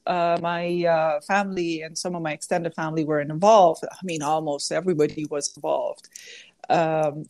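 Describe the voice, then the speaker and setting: A woman's voice: lowish voice, darkish tone, no room sound. A woman born in Iran, a guest scholar in a podcast interview, answering thoughtfully and often correcting herself. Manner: thoughtful, self-correcting, many fillers.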